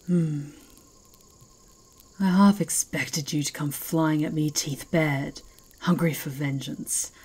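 A woman playing a fierce, mocking werewolf chieftain in an ASMR roleplay, speaking in a slow, taunting, imperious way. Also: sits low, steady tone, a little mellow, slightly rough, full character voice. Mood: taunting, measured.

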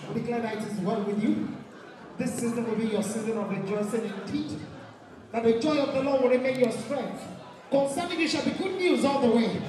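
A Nigerian woman works a live event as master of ceremonies, commanding and theatrical in her delivery. She sounds distant over a very echoey PA, with a clearly audible crowd around her. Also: a little high, fairly quick. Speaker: master of ceremonies at a traditional wedding. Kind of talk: live event hosting with prayers and chants. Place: large indoor event hall. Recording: echoey PA in crowded hall, music behind.

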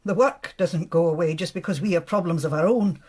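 An actress with a British accent playing a stern, dismissive woman in charge at a clinic, husky, formal and curt, in a radio drama. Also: lowish voice, fairly quick, darkish tone.

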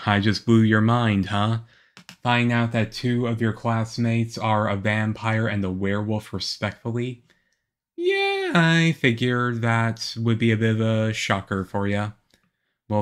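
A man playing a shy, moody, lonely young half-vampire boyfriend in an ASMR roleplay, with a hesitant, halting, earnest delivery. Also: lowish voice, natural voice. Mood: teasing.

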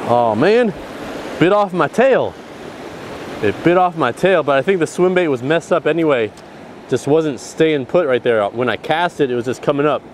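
A man in his thirties vlogging excitedly while fishing from a rocky ocean shore, recorded outdoors on an action camera. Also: a little high, normal speed. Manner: excited, exclaiming. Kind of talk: outdoor vlog narration. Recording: faint surf and wind noise. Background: waves and wind.

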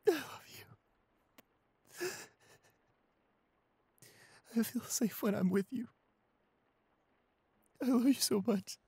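A man playing a shy, anxious, apologetic young boyfriend in an audio roleplay, halting and repeating himself in a breathy, husky voice. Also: extremely high pitch, warm tone, dry recording, full character voice. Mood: tearful relief. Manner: halting, stammering, repeating pleas. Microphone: close studio microphone, dry.

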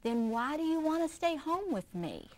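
A woman voicing a gentle, loving mother raccoon from a storybook, soft and soothing. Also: high voice, big pitch swings, normal speed, pitched above the performer's own voice.

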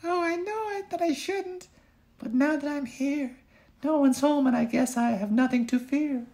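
A man voicing a bold, curious little golden-haired girl in a prim falsetto. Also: normal speed, vocal fry, dark tone. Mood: nervous.

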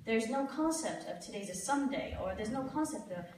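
A woman's voice: on the high side, moderate pace, slight background noise. Somali woman speaking in an echoey hall. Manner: animated storyteller, quoting others. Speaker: panel speaker. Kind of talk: panel discussion. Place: large hall on a stage. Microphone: far camera. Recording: echoey hall, taped from far off.

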